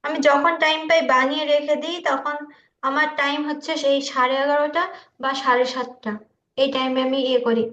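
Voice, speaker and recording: A woman's voice: high-pitched, fairly even intonation, brisk, a bit bright. A woman presenting casually to an online group, with a slightly nervous, hesitant manner. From India. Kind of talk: casual screen-share presentation. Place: online group video call. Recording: echoey, muffled online call.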